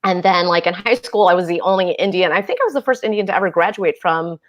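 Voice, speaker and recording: A woman's voice: on the low side, lively intonation, fast. A woman in her fifties from the American Midwest, whose first language is Urdu, speaks on a video call as an author panelist. She tells her story reflectively, with lots of fillers. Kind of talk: casual panel discussion.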